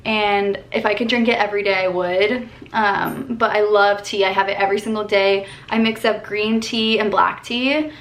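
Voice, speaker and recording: A young woman in her twenties from Colorado answers questions in a relaxed, upbeat vlog chat, sprinkling in "like" and "um". She sits in her bedroom, speaking to a camera at desk distance. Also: mid-range voice, normal speed. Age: 20s. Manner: chatty, upbeat, lots of "like" and "um". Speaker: vlogger. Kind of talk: casual Q&A vlog.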